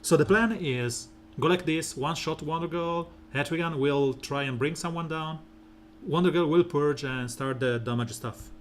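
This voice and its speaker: A man streaming a mobile game, talking through team strategy in a relaxed, rambling way with lots of fillers and a soft, smooth voice. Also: a little hiss or hum. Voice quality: soft, smooth and deep when slow. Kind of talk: casual gameplay commentary.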